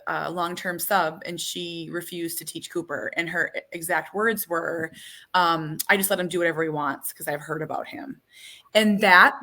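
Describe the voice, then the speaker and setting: A woman hosts a relaxed interview with a bright, forceful tone. She speaks candidly and emotionally about her son, stopping and restarting as she searches for words. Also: lowish voice. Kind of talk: casual conversational interview.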